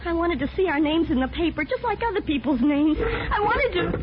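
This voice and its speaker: An American actress playing Daisy, a wounded, nagging wife who craves respectability, plaintive and insistent in 1950s radio drama style. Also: female voice, very high voice, animated, a little fast, background noise. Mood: hurt, tearful. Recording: muffled vintage radio recording.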